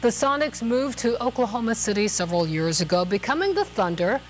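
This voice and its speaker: A woman's voice: a little high, expressive, a little fast, slight background noise. A woman with an American accent reading scripted television news as an anchor.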